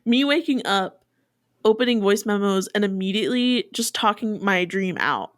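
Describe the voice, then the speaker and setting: A woman's voice: medium pitch, lively intonation, normal speed, no room sound, clean studio sound. A woman in her twenties co-hosting a podcast, chatting casually with lots of fillers and frequent laughter. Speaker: podcast co-host. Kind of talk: casual chat.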